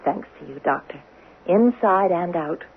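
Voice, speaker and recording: A woman's voice: lowish voice, lively intonation, measured pace, husky, exaggerated voice. An actress playing an anxious, trusting young wife in her late twenties in a 1970s radio drama, in dialogue and first-person narration. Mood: relieved, grateful. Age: late 20s. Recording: muffled narrow-band vintage radio, faint hiss.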